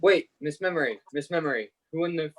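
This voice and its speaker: A man's voice: a little high, quick pace, natural voice. A man playing an audience member who calls out quiz questions in a radio play. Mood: curious, insistent. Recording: noisy video call with clicks.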